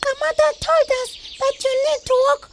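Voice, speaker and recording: A woman with a South African accent voicing a bossy, excitable little animal messenger in children's audio theatre, with birds chirping behind. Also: extremely high pitch, brisk, creaky. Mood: urgent.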